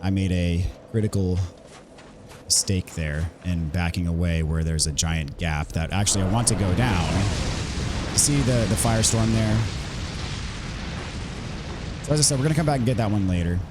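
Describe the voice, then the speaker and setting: A man with a hoarse voice he is still getting back, casually explaining a game walkthrough in a relaxed way, with frequent ums and restarts. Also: deep voice, normal speed, pauses often, noisy background. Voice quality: recovering from voice loss. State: hoarse, recovering voice. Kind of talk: casual gameplay commentary.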